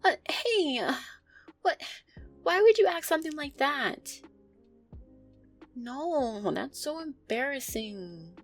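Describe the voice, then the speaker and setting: A woman's voice: high voice, animated, slow pace, pauses often, faint music behind, full character voice. A woman playing an affectionate, easily flustered, centuries-old vampire girlfriend, talking intimately and hesitantly to her listener in an audio roleplay. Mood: flustered, bashful.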